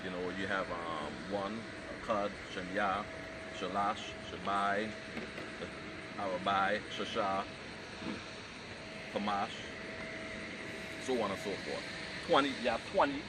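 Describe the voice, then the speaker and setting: Low-voiced man teaching a Hebrew class. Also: very noisy. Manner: measured, explanatory, reads scripture aloud. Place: outdoor training camp.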